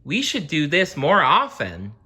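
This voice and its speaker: A storybook reader voicing excitable, mischievous schoolchildren with eager exclamations. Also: mid-range voice, a little slow, husky, pitched above the performer's own voice, heavily acted. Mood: gleeful.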